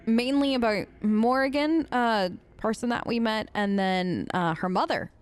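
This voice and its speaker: A woman with a high voice talks casually over gameplay, answering the characters in role. She is chatty and easily exasperated. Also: unhurried, dry recording, soft background music. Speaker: let's play host. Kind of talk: role-play gaming commentary.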